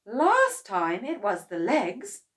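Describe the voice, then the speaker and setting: A grumbling, sceptical farmer's wife with a tart, complaining tone, as a woman reading a storybook puts her on. She speaks slowly and drawls her doubts. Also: medium pitch, sing-song, fairly bright tone, voice pushed up. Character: grumpy, sceptical farmer's wife, middle-aged.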